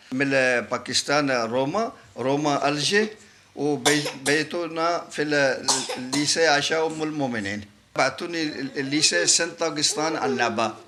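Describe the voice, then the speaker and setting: Quick-talking man recalling his past. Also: a little high, bright, forceful tone, a little hiss or hum. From Pakistan. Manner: quick, fragmented, with laughs. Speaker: interviewee. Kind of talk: casual recollection.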